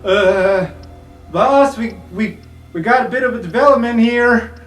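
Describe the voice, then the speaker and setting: A man's voice: very high-pitched, a little slow, a bit bright, distant mic, voice pushed up. A man voicing a flustered, stammering henchman who curses as he reports trouble to his boss in a tabletop game. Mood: flustered, alarmed. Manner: stammering, sweary. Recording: echoey room, off mic, music underneath.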